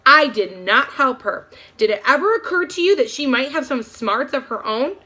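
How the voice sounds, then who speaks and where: Lady playing a loyal young helper girl, firm and defiant, bright voice, storybook. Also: sits high, lively intonation, moderate pace, pitched above the performer's own voice, full character voice. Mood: indignant.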